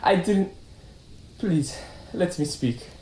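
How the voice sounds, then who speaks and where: A man performs an emotional, defensive suspect in puppet show melodrama. His pitch swings widely and his delivery is halting, in a roomy, echoing space. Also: expressive, darkish tone. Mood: indignant. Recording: roomy echo with faint background noise.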